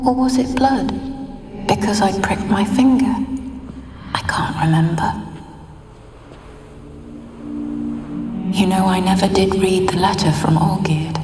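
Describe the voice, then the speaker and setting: An actress voicing the mournful ghost of a young noblewoman, speaking in a slow, hushed, wistful way. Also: mid-range voice, close to an everyday voice. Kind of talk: video game cutscene voice acting. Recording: echoey, distant, with music.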